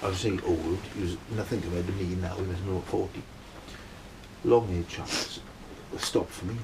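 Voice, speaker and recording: A man's voice: sits low, fairly even intonation, normal speed, noisy background. A middle-aged Welsh man telling a long personal story in a casual, rambling way, checking in with his listeners as he goes. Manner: rambling, keeps checking in with "right?". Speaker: band member telling a story.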